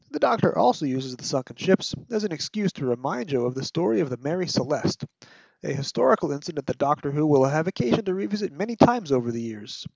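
A man hosting a podcast with scripted narration, measured, articulate and wry, like a literary review. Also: a little high, gravelly, a little mellow, no echo, dull audio. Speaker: podcast host.

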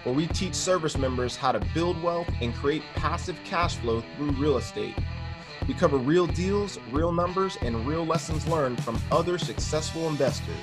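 A man co-hosting a podcast, opening the show in an upbeat, energetic way and asking the guest questions. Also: a little high, normal speed, music in the background. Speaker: podcast co-host. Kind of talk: podcast intro and interview.

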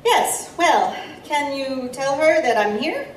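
A woman's voice: sits high, lively intonation, takes it slowly. A woman playing an eager, polite but impatient reporter in an audio drama, hesitant at first yet insistent. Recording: roomy, distant, faint background noise.

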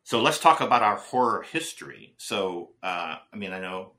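A man's voice: low-pitched, big pitch swings, normal speed, bright, forceful tone. A man co-hosting a casual film podcast, rambling and chatty with lots of restarts and fillers. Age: older than his co-host. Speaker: podcast co-host.

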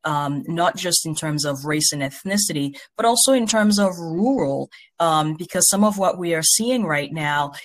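Measured, earnest academic leader from Haiti. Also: mid-range voice, lively intonation. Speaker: university president, interview guest. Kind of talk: thoughtful interview answers.

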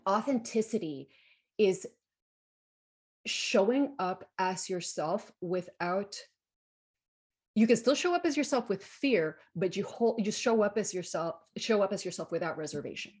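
A woman's voice: mid-range voice, animated, measured pace, darkish tone, slightly rough. A woman in her forties from Guatemala talking reflectively in an interview, emphatic and repeating phrases to drive points home. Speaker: interview guest. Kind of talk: reflective personal interview talk.

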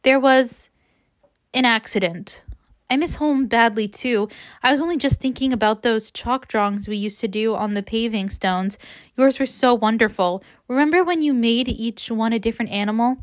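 Storybook part: gentle, nervous young woman, slightly high, carefully reassuring read by a woman. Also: lightly acted. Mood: wistful. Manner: halting, soothing, nervous.

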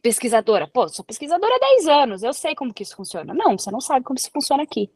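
A Brazilian woman speaks with a Brazilian Portuguese accent in an easy, chatty way, illustrating her points with everyday examples. Her voice sits somewhat high for a woman. The sound is close and dry, with no room echo. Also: very animated, rapid-fire, a little mellow, husky. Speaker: guest researcher. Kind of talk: casual interview conversation.

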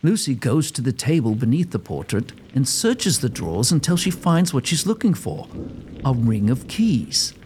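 A man's voice: medium pitch, sing-song, moderate pace, darkish tone, a little hiss or hum. A man giving scripted narration for a gothic comedy audio drama.

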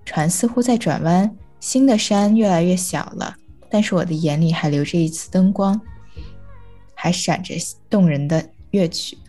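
A woman's voice: fairly low pitch, normal speed, mellow, soft background music. A woman reading a short piece of literary prose aloud. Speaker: reader of a short story.

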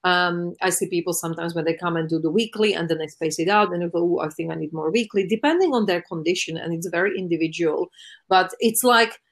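Earnest woman massage therapist, lowish voice. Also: quick pace. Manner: earnest, many fillers and restarts. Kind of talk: conversational interview answers.

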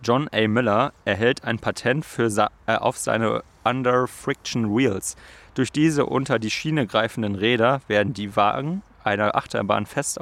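A young man runs a relaxed quiz over a call, reading facts aloud. His delivery halts and restarts as he trips over words. His voice sits at an ordinary pitch. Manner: halting, reads with slips and restarts. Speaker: quiz host. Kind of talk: casual game chat, reading facts aloud.

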